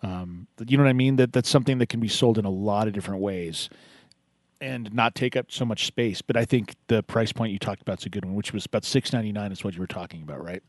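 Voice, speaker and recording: A man's voice: on the low side, quick pace, no echo, clean studio sound. A man hosting a radio talk show, chatting casually and encouragingly with a caller, rambling a little as he gives advice. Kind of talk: casual on-air chat with a caller.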